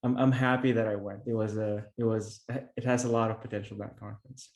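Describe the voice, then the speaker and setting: Filipino man, middle-aged, interview guest; earnest, halting delivery, roomy echo. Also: fairly low pitch, flat delivery, a little mellow. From Philippines. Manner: earnest, many restarts and fillers. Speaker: podcast guest, real estate investor. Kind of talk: conversational interview storytelling. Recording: echoey room.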